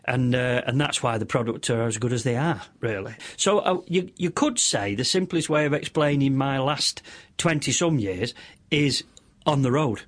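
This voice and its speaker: A middle-aged man with a squeaky voice, a radio interview guest who rambles through long stories with many restarts and self-deprecating asides. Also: animated, normal speed. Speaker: radio interview guest, motorcycle stunt show compere.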